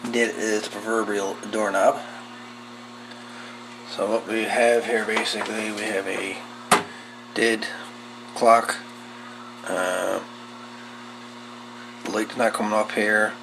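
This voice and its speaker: A man giving a casual, folksy, hands-on repair walkthrough, talking in short, unhurried pieces. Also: lowish voice, little pitch movement, vocal fry. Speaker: host of a radio repair channel. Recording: loud background noise with clicks.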